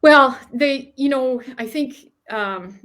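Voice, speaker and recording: A woman's voice: high-pitched, takes it slowly, a bit bright. A middle-aged woman from Canada, a guest author chatting casually in an interview, often restarting sentences and laughing between thoughts.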